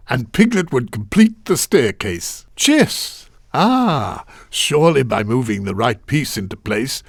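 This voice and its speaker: Lilting, genteel storybook narrator. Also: male voice, fairly high pitch, no echo, clean studio sound, full character voice. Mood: mildly amazed. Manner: deliberate, expressive, gently musing, old-fashioned phrasing. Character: genteel, kindly storybook narrator guiding a young player. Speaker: video game narrator. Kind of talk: scripted storybook narration for a children's game.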